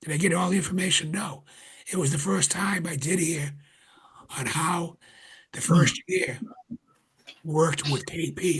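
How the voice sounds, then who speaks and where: A man chairing a town board meeting in a conference room, speaking earnestly with restarts, his voice getting raspy. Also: fairly high pitch, fairly bright tone, low-quality recording. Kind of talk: public meeting remarks.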